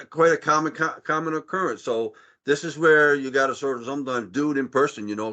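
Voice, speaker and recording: A middle-aged man with a deep, strong voice, speaking earnestly on a panel, repeating words and getting loud when excited. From Canada. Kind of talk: panel discussion.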